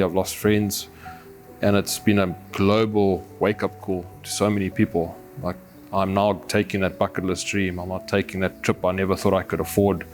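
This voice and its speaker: Low, even-toned Zimbabwean man over music. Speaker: adventurer and overlander, interviewee. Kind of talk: reflective documentary interview.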